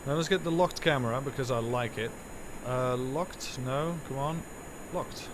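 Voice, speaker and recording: A man's voice: mid-range voice, unhurried, background noise. A man whose first language is Dutch, giving rambling, self-correcting live commentary while streaming a game from home.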